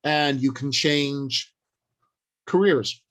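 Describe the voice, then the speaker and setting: An older man presenting an informal instructional talk in a halting, deliberate, explanatory way. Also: medium pitch, a bit bright. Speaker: workshop presenter.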